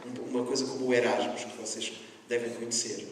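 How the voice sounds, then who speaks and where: A man's voice: medium pitch, flat delivery, quick pace. A man from Lisbon on a panel, talking earnestly to students and repeating phrases for emphasis. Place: school hall. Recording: echoey hall from far off, noisy.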